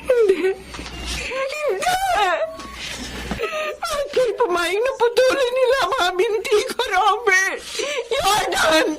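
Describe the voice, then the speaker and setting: An actress playing a self-sacrificing wife and older sister in a radio drama, with a Filipino accent and a hesitant, pleading manner. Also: female voice, very high voice, very animated, slow pace, loud music behind the voice, full character voice. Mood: anguished, crying. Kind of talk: Tagalog radio drama acting. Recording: faint background noise.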